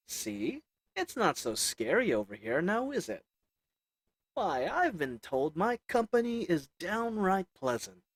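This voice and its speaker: A man's voice: high-pitched, no room sound, heavily acted. A man doing a wheedling old goblin merchant. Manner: wheedling folksy drawl. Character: sly little old goblin merchant, shady.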